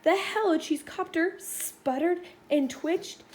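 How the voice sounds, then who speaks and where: A woman reading a rhyming children's story aloud in an expressive narrating style. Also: very high voice, slow. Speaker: storybook reader. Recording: faint background noise.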